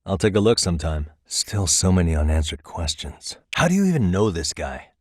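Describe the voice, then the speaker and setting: A man's voice: low voice, big pitch swings, brisk, darkish tone, no room sound, studio quality. A man playing a streetwise private detective in a video game, cool, wry and dogged, with an American accent and a casual, conversational delivery. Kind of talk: English video game voice acting.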